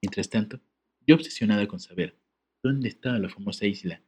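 Dude on a podcast, reads a letter out then jokes around, laughs a lot. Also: male voice, fairly low pitch, fairly quick, darkish tone, slightly rough. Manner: joking, laughs often, reads with comic asides. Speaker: podcast co-host. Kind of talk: casual podcast chat, reading a letter aloud.

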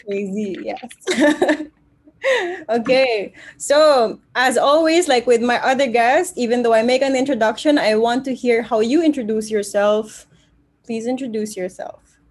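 A woman's voice: a little high, measured pace. A woman hosting an interview series, giving chatty introductions and asking her guest questions. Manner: warm, chatty introductions with frequent laughs. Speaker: interview host.

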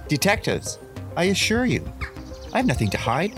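A man's voice: sits high, huge pitch swings, moderate pace, fairly bright tone, faint music behind, full character voice. A man playing an indignant, defensive garden owner who pleads dramatically in a comic radio mystery. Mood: defensive, wounded.

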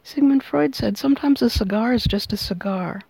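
A woman hosting a tarot reading, explaining it in measured, unscripted phrases. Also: a little high, creaky, darkish tone, breathy edge, dry recording, low-quality recording. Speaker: tarot reader and podcast host.

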